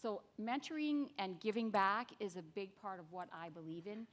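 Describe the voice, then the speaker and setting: A woman's voice: medium pitch, normal speed. A woman speaking openly and confidently as a conference panelist. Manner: open, confident. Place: conference stage. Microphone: microphone.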